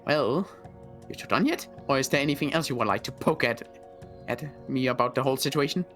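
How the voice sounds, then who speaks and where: A man playing a touchy, grudge-holding gunslinger in a zombie game roleplay, with a halting, stumbling delivery. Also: medium pitch, fairly bright tone, natural voice. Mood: exasperated. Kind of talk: improvised video game roleplay. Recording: background music.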